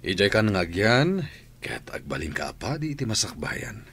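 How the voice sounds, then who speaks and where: A man's voice: on the low side, big pitch swings, moderate pace, a bit bright, a little hiss or hum. A man playing an authoritative school principal in a radio drama, speaking with an Ilocano Filipino accent. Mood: serious, inviting.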